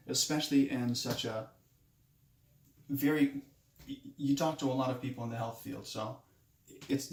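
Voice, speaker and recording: A man in his late twenties, raised in Moldova with Romanian as his first language, introduces himself for a class in a halting way, often restarting his sentences. His pitch sits in an ordinary middle range. The recording has a noticeable room echo. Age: 20s. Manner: hesitant, halting, many restarts. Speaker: student. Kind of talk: self-introduction speech.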